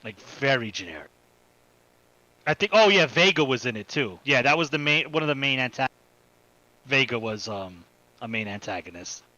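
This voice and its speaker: A man co-hosting a podcast, chatting casually in a halting, informal, slangy way. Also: mid-range voice, animated, pushed and bright, dry recording, poor audio. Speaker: podcast co-host. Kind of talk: casual chat about video game movies.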